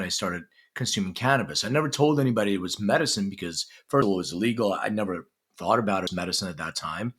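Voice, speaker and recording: A man from Lithuania who grew up in Philadelphia, a podcast guest telling his life story in a relaxed, conversational way. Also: lowish voice. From Lithuania, raised in Philadelphia. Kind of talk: storytelling interview.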